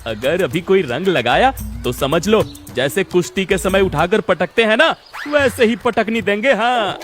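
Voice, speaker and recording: Boisterous cartoon villager played by a man: high, swooping, folksy and drawn-out, with music underneath. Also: lively intonation, a bit bright. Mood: protesting. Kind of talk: cartoon voice acting.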